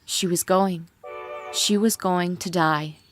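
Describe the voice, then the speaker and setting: A woman serves as narrator of a dramatic fan fiction reading. Her voice is fairly low for a woman. She reads from a script with music playing faintly underneath. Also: fairly even intonation, slow. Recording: music bed with birdsong behind.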